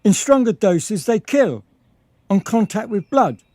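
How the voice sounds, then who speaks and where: A man's voice: high-pitched, big pitch swings, measured pace, darkish tone, dry recording, heavily acted. An actor playing an insistent, formal and commanding man in a radio drama. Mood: urgent, insistent.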